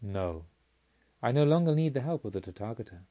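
A man voicing a serene, self-possessed enlightened woman in a storybook reading, with measured, solemn delivery. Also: medium pitch, lively intonation, lo-fi sound, natural voice. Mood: calm certainty.

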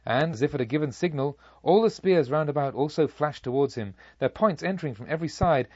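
A man reading a Buddhist tale as an audiobook, with a solemn, measured, theatrical storytelling delivery. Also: medium pitch, no room sound, highs cut off. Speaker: audiobook narrator. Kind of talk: scripted literary narration of a Buddhist tale.

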